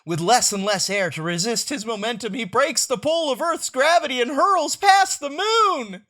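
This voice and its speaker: A man's voice: very high-pitched, expressive, normal speed, sharp tone, voice pushed up, full character voice. A man voicing a melodramatic space-adventure announcer from a comic strip in a grandiose, suspenseful, exclamatory way.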